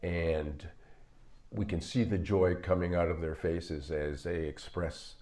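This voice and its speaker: An older man, a psychologist, talking to the camera from a home office in a measured, halting way with frequent fillers. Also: low voice, steady tone, dark tone. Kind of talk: talking to camera in a video commentary.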